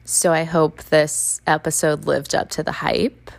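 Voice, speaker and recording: A woman hosting a casual dating podcast, chatty and quick to laugh, using lots of fillers. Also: deep voice, little pitch movement, creaky. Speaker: podcast host.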